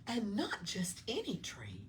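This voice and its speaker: Slow, animated woman reading to children. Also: medium pitch. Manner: dramatic emphasis, sometimes hushed. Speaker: library storytime reader. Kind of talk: expressive children's read-aloud. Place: small town library. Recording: distant mic with room noise.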